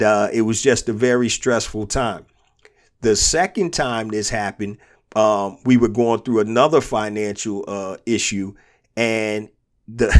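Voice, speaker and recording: A man hosting a motivational business podcast, speaking through a cold with a stuffed-up nose and a sore throat. His voice sits at an ordinary middle pitch for a man. He talks earnestly and repeats each key point so that it lands. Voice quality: congested. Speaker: podcast host and business coach.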